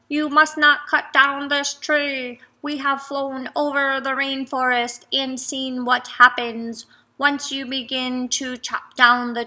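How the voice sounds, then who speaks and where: A woman voicing an insistent toucan who squawks loudly in a storybook read-aloud. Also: exaggerated voice. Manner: shrill squawks.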